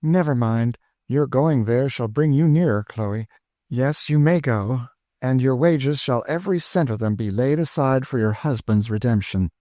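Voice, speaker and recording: A man voicing a kind, composed mistress of a household, speaking in a measured, proper way in a storybook reading. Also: medium pitch, mellow, dry recording, studio quality, pitched above the performer's own voice, close to an everyday voice. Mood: gentle.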